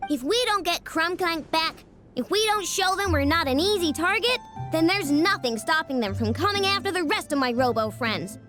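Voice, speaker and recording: Very high-voiced, earnest girl inventor. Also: lively intonation, moderate pace, over music. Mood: determined. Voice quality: girlish. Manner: earnest, determined. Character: young girl robot inventor, earnest, stubborn, protective. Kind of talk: English video game cutscene voice acting.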